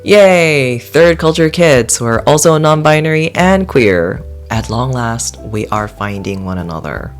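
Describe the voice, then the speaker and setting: A man's voice: medium pitch, expressive, a little slow, few pauses, soft background music. A man hosting a podcast, reading an earnest, enthusiastic introduction to the new season. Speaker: podcast host. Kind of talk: warm scripted podcast intro.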